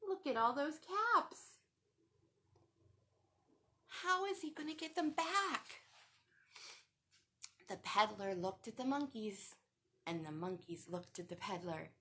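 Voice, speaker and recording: A woman's voice: a little high, animated, slow, pauses often, bright, forceful tone. A woman reading a picture book aloud to young children, expressively and with questions to the listeners. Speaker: children's storytime reader.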